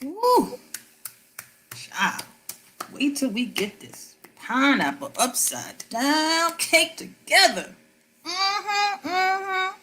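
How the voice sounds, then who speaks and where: A woman's voice: extremely high pitch, very slow, exaggerated voice. An actress playing a proud, playful mother who teases her little girl while baking. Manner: sassy, teasing, animated. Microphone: video call.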